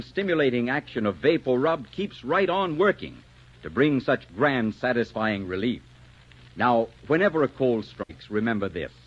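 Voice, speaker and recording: Animated vintage male radio announcer, muffled. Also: medium pitch. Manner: emphatic, polished salesmanship. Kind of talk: 1940s radio announcing, scripted sales pitch.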